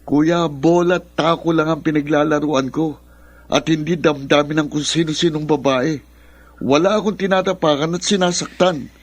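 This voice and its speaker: A grieving, resentful young son in a radio drama, acted by a man. His voice sits a little high for a male. He speaks with biting sarcasm and accusation. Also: fairly even intonation, normal speed, close to an everyday voice. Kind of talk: Filipino radio drama acting.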